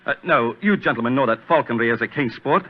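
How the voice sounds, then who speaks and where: An actor playing an agitated, self-important gentleman, fearful and obsessed, with a dramatic, overwrought delivery in 1940s radio drama style. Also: mid-range voice, animated, fast talker, natural voice. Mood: proud, agitated. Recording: muffled old radio recording.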